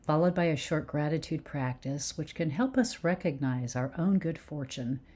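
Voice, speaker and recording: A woman leading a guided meditation with soothing, gentle instruction in unhurried phrases. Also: deep voice.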